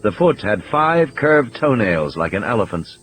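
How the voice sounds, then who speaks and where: A man's voice: lowish voice, big pitch swings, moderate pace, a bit bright. A man reading scripted fiction as an audiobook narrator, in a dramatic storytelling style, with music and sound effects behind him.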